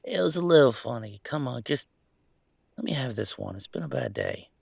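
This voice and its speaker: A man playing a troubled young male werewolf in an intimate audio roleplay, confiding in a halting, sardonic, self-deprecating way. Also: medium pitch, expressive, vocal fry, husky, no room sound, studio quality, exaggerated voice. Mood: teasing.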